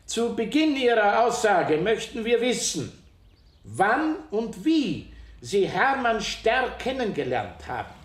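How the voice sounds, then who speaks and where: A presiding judge puts questions to a witness slowly and formally. His voice is high and rises and falls a lot. The room rings with echo. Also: sing-song, full character voice.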